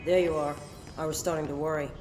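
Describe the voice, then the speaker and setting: A woman's voice: deep voice, steady tone, fast, over music, lightly acted. An actress playing a commanding middle-aged female operations chief in a game, clipped, matter-of-fact and authoritative. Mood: brisk, authoritative.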